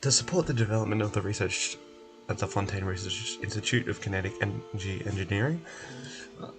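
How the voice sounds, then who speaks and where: A man's voice: lowish voice, a little fast. A man streaming a game, reading its written text aloud as he plays. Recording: music behind.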